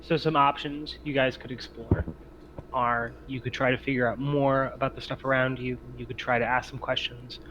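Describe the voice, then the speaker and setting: A man's voice: mid-range voice, flat delivery, moderate pace, fairly bright tone. A man narrating a tabletop roleplaying game as its game master. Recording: muffled lo-fi mic with clear noise.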